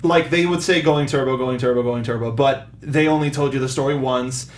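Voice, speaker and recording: A man's voice: medium pitch, fairly quick. A man hosting a film review show in casual panel chat, talking like an earnest enthusiast.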